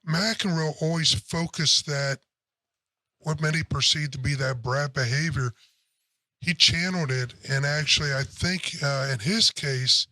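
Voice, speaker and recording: Male co-host, deep throaty voice with a forceful edge, blunt opinions, dry booth. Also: a little slow, no echo, poor audio. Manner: blunt, opinionated, curses casually. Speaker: sports podcast co-host. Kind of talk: casual sports chat.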